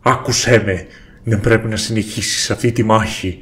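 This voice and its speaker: A man voicing an ancient wise sage in a cartoon, giving slow, solemn counsel. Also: lowish voice, steady tone, fairly bright tone, natural voice. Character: ancient wise sage spirit, calm and grave.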